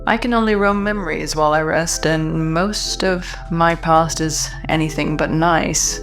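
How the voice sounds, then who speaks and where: Woman as a low, somber female android. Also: faint music behind. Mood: somber, bitter. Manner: measured, breathy, somber. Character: somber, dangerous female android, bitter and weary.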